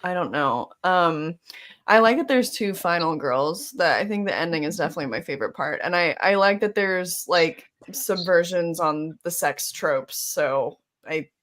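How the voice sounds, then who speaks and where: Female panelist, slightly low pitch, casual, informal and hesitant. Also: expressive, moderate pace, fairly bright tone. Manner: hesitant, informal, thinking aloud. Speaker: panel participant. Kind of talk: casual chat.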